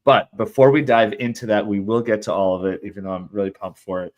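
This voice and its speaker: A man's voice: lowish voice, steady tone, quick pace, darkish tone, dull audio. A man hosting a podcast interview, enthusiastic and rambling, with frequent restarts. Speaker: podcast host.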